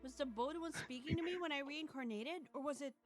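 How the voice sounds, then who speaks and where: Woman reading visual novel story out loud, kinda high voice, soft music behind, chatty streamer. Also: fast. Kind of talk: first-person story narration with casual asides.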